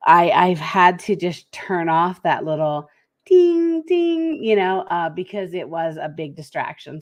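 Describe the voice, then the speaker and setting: A woman with a head cold hosting a solo talk show and giving practical tips in a relaxed, conversational way. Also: on the low side. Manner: conversational, lively, speeds up and slows down. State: head cold, slightly congested. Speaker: podcast host.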